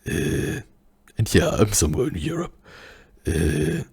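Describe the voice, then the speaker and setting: German-accented man, very deep and gravelly, soft-spoken ASMR whisper, slow and hesitant. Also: sing-song. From German-speaking country. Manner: hesitant, many fillers, searching for words. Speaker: ASMR channel host.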